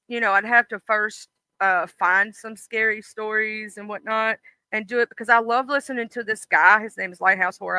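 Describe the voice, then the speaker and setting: A livestream host who reads a spooky short story in an even, steady way, then slips into loose chat with viewers. The tone is very bright and sharp, and the sound is dry and close. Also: medium pitch, smooth, no room sound. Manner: even, steady story narration, chatty with fillers and restarts. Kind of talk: horror story reading, then casual chat.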